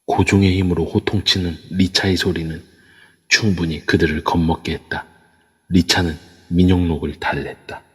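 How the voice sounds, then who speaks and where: A man narrating an audio drama in an even storytelling manner. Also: deep voice, moderate pace, slightly rough. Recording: echoey long reverb.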